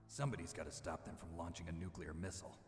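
An actor playing a jaded, stoic, middle-aged mercenary in a video game, gruff, terse and deadpan, with an American accent. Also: male voice, sits low, quick pace, close to an everyday voice. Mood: businesslike. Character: jaded, stoic male mercenary soldier, hard-bitten loner. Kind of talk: dramatic game cutscene dialogue. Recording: echoey reverberant game audio, music underneath.

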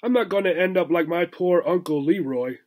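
Storybook squirrel male reader putting it on: wary streetwise guy, blunt and suspicious, voice pushed high. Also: fairly quick, a little mellow, lightly acted.

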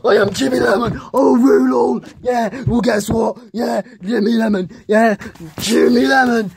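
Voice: medium pitch, steady tone, moderate pace, vocal fry, close to an everyday voice. A performer with a British accent voicing a power-mad, mocking villain who rambles and keeps adding tag questions. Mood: ranting, shouting. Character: boastful taunting villain, power-mad and cocky. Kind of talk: amateur villain role-play.

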